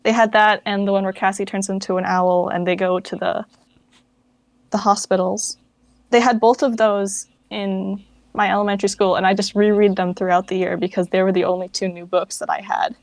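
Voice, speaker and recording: A woman's voice: medium pitch, flat delivery, moderate pace, no echo. A woman chatting informally about books as an interview guest, often saying "like" as she goes. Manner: informal, peppered with "like". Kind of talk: casual chat about books.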